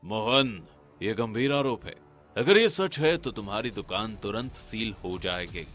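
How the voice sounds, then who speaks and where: A man voicing an earnest, grave village man in a cartoon, formal and expressive. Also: on the low side, unhurried, exaggerated voice. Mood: grave, firm.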